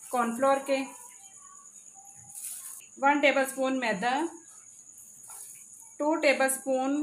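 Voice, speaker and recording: A woman, hosting a cooking channel and narrating a recipe step by step, addressing viewers directly from a home kitchen. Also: high-pitched. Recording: echoey room with faint background noise.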